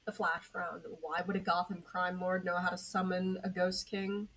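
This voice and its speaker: Lower-pitched woman narrating a story. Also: level tone, moderate pace, a bit bright. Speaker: podfic narrator. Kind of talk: scripted story narration, amateur. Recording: noisy amateur home recording.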